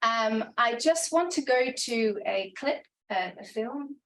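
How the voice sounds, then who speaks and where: A woman's voice: fairly high pitch, lively intonation, slow pace, a bit bright, poor audio. A woman researcher giving a conference talk, earnest and reflective, with frequent restarts and filler sounds. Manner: hesitant, many restarts and ums. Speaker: academic researcher presenting.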